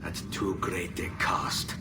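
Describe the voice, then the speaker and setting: An actor playing a calm, grave young assassin in a video game, measured and solemn. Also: male voice, recorded from a distance, noisy background, natural voice. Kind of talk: video game cutscene acting.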